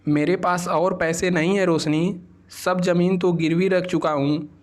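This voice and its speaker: A man's voice: high-pitched, steady tone, a little slow. A man voicing a trusting, hard-pressed young husband in a cartoon moral story, speaking earnestly and pleadingly.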